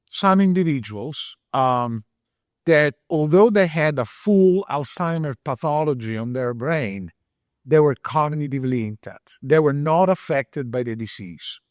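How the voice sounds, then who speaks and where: A middle-aged man from Italy with an Italian accent, speaking as a researcher on a panel, earnest and pausing often to find his words. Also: mid-range voice, a little mellow, dry recording, clean studio sound. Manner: earnest, searching for words with many uh fillers. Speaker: panelist, brain health researcher. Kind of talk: panel discussion remarks.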